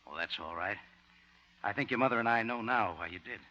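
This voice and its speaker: An actor playing a reserved, well-to-do father who speaks with halting politeness in a 1950 radio comedy. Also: lowish voice, pushed and bright. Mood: earnest. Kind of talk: 1950 radio sitcom acting. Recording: muffled 1950 radio broadcast.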